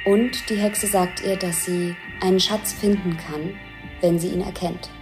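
An actress playing an observer who precisely and plainly describes scenes one by one in a radio drama. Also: female voice, lowish voice, fairly even intonation, measured pace, over music. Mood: matter-of-fact. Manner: matter-of-fact, precise.

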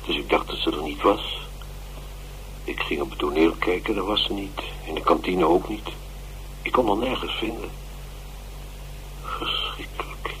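An actor playing a polite, old-fashioned elderly gentleman in a radio drama, gentle, formal and regretful. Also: sits low, a little hiss or hum, low-quality recording. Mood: grieving.

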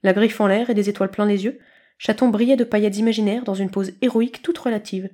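Expressive woman narrating a story. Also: mid-range voice, fast talker. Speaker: narrator, podfic reader.

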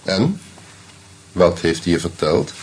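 Casting: a guarded young suspect in a radio play, husky voice, lively intonation. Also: male voice, low-pitched, slow pace, exaggerated voice. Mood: guarded, cool. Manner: terse, guarded, clipped. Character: young actor under suspicion, coolly defiant. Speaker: Jules. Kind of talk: radio drama acting.

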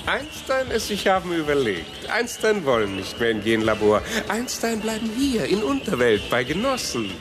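Casting: treacherous talking rat, mid-pitched swooping delivery, gloating pidgin speech, over loud music in radio drama. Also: huge pitch swings, normal speed, few pauses. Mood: smug, gloating. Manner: broken childlike grammar, gloating. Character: sly treacherous talking rat deserting to his own kind. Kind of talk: German sci-fi radio play acting.

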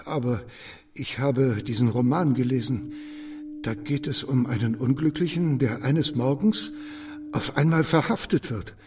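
A man acting a suspicious, secretive loner afraid of arrest in a radio drama, uneasy, halting and over-insistent. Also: mid-range voice, lightly acted. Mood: agitated. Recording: distant from the microphone.

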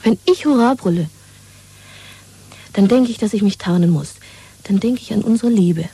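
A woman's voice: medium pitch, moderate pace, warm tone, husky. A woman playing a pragmatic, mocking secret lover in a 1970s dystopian radio play, intimate and half-whispered. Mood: dismissive, defiant.

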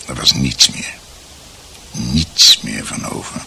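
Grave man narrating in a radio play, deep, slow and sombre, slight hiss. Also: vocal fry, bright, forceful tone, heavily acted. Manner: measured, sombre. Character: grave man recounting his family's tragic history. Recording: faint hiss.

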